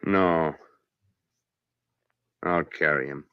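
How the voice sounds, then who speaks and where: Resolute frontier lawman, very low voice, terse, old radio western, muffled dry recording. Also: fairly even intonation, extremely slow, smooth, no echo, exaggerated voice. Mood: grim, resolute. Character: stern, resolute Old West lawman named George, weary and conscience-stricken.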